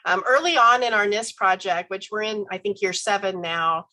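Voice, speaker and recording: Woman lecturer, stuffy head cold, bright tone, chatty with ums, video call. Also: mid-range voice, moderate pace. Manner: conversational, with frequent ums and self-corrections. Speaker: university professor giving a talk. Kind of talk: online academic lecture.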